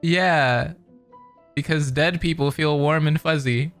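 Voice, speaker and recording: A man's voice: a little high, fairly even intonation, takes it slowly, fairly bright tone. A man commentating casually on a game story, making sarcastic asides and reading text aloud. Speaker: streamer reacting to a game. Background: game music.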